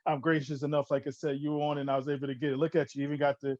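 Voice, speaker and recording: A man's voice: on the high side, level tone, rapid-fire, darkish tone, dry recording, highs cut off. A man from New Jersey hosting a casual interview, eager and chatty, who keeps saying "like I said" and laughs often. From New Jersey, born in Newark, raised in Orange. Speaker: interview host.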